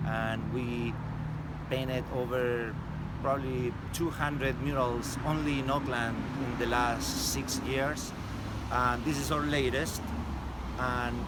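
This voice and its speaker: A man in his forties from Chile with a Chilean Spanish accent, a street artist being interviewed, speaking in a halting, casual way with many fillers and restarts. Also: mid-range voice. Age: 40s. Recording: loud street and traffic noise.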